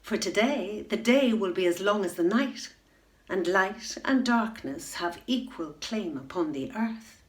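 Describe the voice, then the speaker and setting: A woman's voice: medium pitch, expressive, a little slow, lightly acted. A woman voicing a jealous evil queen and sorceress from a storybook, gloating and commanding as she casts her curse. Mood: coaxing.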